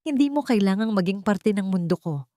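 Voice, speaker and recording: A woman's voice: mid-range voice, animated, a little fast, mellow, no echo, studio quality, lightly acted. A Filipino woman voicing a remorseful, wealthy young father in a storybook drama, earnest and imploring. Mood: earnest, pleading.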